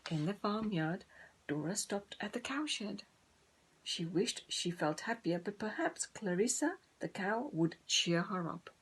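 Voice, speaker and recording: Slow, expressive woman reading a storybook. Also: medium pitch, breathy edge, poor audio. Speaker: storybook reader. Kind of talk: expressive children's story narration.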